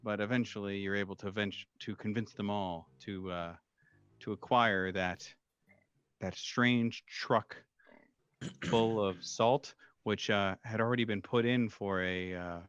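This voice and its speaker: A man narrating a tabletop game as game master, in halting, deliberate, formally worded storytelling. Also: lowish voice, fairly even intonation, a bit bright, clean tone, no room sound, highs cut off.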